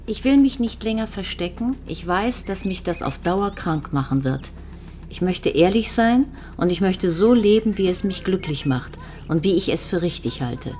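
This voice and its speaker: An actress playing a composed, probing police detective in a TV crime drama, with measured, insistent questions. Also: female voice, fairly low pitch, animated, darkish tone, slight background noise, close to an everyday voice. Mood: solemn. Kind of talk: naturalistic German TV drama dialogue.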